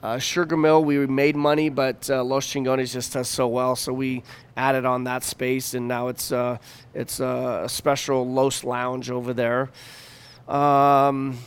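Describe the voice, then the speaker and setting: A man in his fifties with a smooth, clear voice talks in a casual interview as a chef and restaurant owner. His way of speaking is loose, slangy and conversational. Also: mid-range voice, level tone, unhurried. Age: 50s. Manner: informal, slangy. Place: downtown Denver venue.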